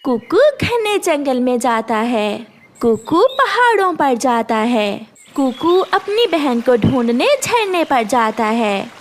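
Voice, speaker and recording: Slow, high-voiced woman story narrator. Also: very animated. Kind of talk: scripted children's story narration.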